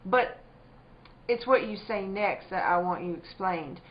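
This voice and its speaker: An actress playing a guarded, plainspoken country farm wife in a spooky radio drama. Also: female voice, mid-range voice, a little slow, natural voice. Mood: guarded, suspicious. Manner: plainspoken, homely, increasingly suspicious. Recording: dull lo-fi audio with faint noise.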